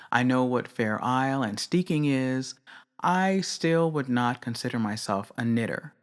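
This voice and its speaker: A woman hosting a craft podcast, giving measured, candid and opinionated commentary on her own. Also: a bass voice. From not British. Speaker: podcast host. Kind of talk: reflective solo commentary.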